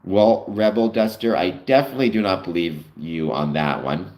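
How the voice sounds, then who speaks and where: Canadian guy on a livestream, low voice, talks loose with lots of ums, echoey room. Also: normal speed, a little mellow. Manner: rambling, many fillers, answers chat comments. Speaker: livestream host. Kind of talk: casual livestream chat reading comments.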